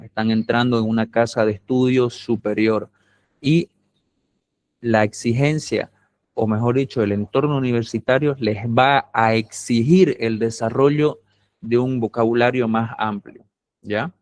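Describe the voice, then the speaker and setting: A man with a Bolivian camba accent teaches a university class at a mid pitch. He explains patiently, step by step, and keeps checking that his students follow. His voice sounds dry and close, with no room echo. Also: a little mellow. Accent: Bolivian camba (Santa Cruz). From Santa Cruz, Bolivia. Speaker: university lecturer. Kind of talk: classroom lecture.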